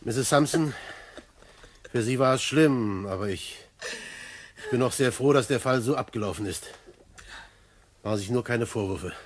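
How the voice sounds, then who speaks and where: An actor playing a trusting police inspector in a radio crime drama, soothing yet briskly authoritative. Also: male voice, medium pitch, husky, close to an everyday voice. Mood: kindly reassuring.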